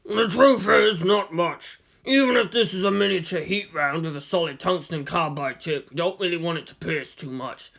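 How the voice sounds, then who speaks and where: A man voicing a weary, blunt old gunsmith in his seventies with a gravelly voice and a matter-of-fact manner, in a storybook reading. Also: sits high, moderate pace, hardly any pauses, a bit bright, heavily acted. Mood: matter-of-fact, grim.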